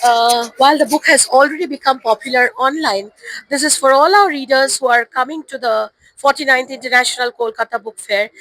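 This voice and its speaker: Hoarse woman, effusive host, sharp bright tone, high voice. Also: no room sound, poor audio. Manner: effusive, formal introductions. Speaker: publisher's host. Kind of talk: event introduction. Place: book fair stall.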